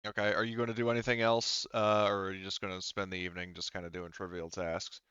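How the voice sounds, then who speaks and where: Game master: man, low smooth voice, halting casual improvised narration, muffled dry mic. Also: little pitch movement, bright, forceful tone, no room sound. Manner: halting, informal, swears casually.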